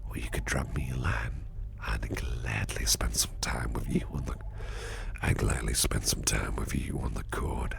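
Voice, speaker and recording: Casting: male performer as attentive, flirty boss for whispered ASMR roleplay; very slow, rumbling bass, breathy, soothing and protective. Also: fairly even intonation, noisy background, exaggerated voice. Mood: softly flirtatious. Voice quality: breathy whisper, very low and gravelly. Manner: unhurried, reassuring, personal attention to listener. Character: attentive businessman boss, doting, softly flirtatious.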